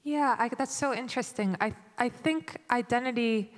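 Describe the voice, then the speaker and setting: A woman's voice: a little high, moderate pace. A woman from the United States speaking thoughtfully on a panel in an auditorium, into a handheld mic. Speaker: panelist, writer. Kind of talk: panel discussion. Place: large auditorium stage. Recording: long hall reverb.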